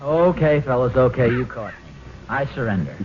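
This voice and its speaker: A man's voice: medium pitch, expressive, normal speed, lightly acted. An actor playing a playful, put-upon American suburban father in a 1940s radio comedy, with a hesitant, wry manner. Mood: wry, weary. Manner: hesitant, wry, excuse-making. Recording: muffled, noisy old radio recording.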